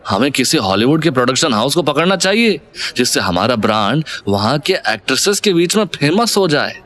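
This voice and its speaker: A man's voice: medium pitch, very animated, a little fast, fairly bright tone. A man playing a confident, considerate, folksy businessman in a Hindi audio drama. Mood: musing.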